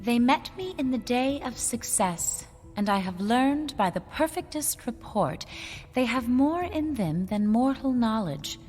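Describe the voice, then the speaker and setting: An actress playing a lively young female stage actress who speaks in an animated, expressive way in a radio drama. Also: fairly high pitch, measured pace, big-room sound. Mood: reciting. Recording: radio drama with faint music.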